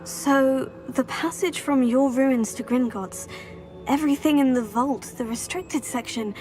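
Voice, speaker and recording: A young student witch in a video game. She sounds poised and inquisitive in a fairly high voice, polite and composed, with music behind. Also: unhurried, darkish tone. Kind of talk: scripted video game voice acting.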